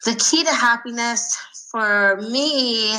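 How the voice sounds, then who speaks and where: A woman in her thirties from Sweden, answering interview questions thoughtfully with frequent pauses and fillers. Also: a little high, sharp tone, low-quality recording. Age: 30s. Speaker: guest.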